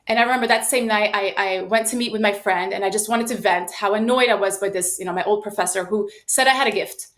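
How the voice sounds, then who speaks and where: Woman, late thirties, interview guest, personal storytelling, animated and emphatic delivery, mid-range voice. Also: medium pitch, fast, hardly any pauses. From United States and Sweden. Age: late 30s. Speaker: podcast guest, sales professional.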